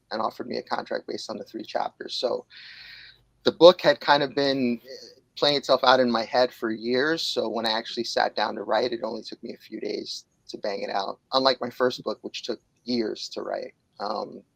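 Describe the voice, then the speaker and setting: A man's voice: medium pitch, gravelly, fairly bright tone, husky, no room sound, low-quality recording. A man in his late forties, a jiu-jitsu instructor and author from New York, speaking candidly and reflectively as a podcast guest, with frequent fillers. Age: 40s. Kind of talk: podcast interview.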